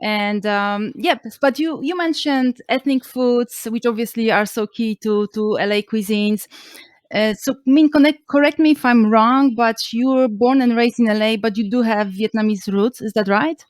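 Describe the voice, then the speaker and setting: A woman hosts a travel podcast and puts friendly questions to her guest. Her voice sits fairly high, and the recording is dry and close. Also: fairly even intonation, moderate pace, no room sound. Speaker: podcast host, interviewer. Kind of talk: casual interview.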